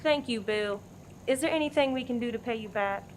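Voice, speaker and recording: A woman's voice: a little high, brisk, natural voice. A woman playing a tough, practical diner character in a comic radio play, blunt and commanding, with background noise. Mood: matter-of-fact.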